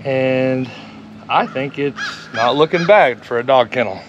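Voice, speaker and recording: A man's voice: mid-range voice, measured pace. A man in his forties chatting casually while building outdoors on a homestead, joking dryly. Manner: easygoing, dry joking. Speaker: homesteader, channel host. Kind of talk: casual chat while working. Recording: dull lo-fi sound with faint noise.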